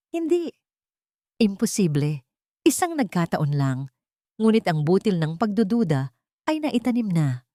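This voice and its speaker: A woman reading a scripted audio drama as a storyteller, in an emotive, suspenseful and deliberate way. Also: fairly low pitch, darkish tone, no echo, clean studio sound. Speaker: storyteller narrating in first person as an older woman.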